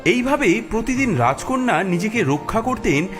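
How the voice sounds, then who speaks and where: A man's voice: high voice, big pitch swings, normal speed. A man narrating a scripted fairy tale as storyteller. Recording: music behind the voice.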